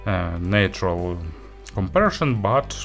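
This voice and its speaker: A man with a fairly low voice talks through an audio gear review off the cuff. He is a non-native English speaker and phrases things haltingly, with hesitation. Faint music plays behind him. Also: very animated, gravelly. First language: not English. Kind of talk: unscripted product review talk.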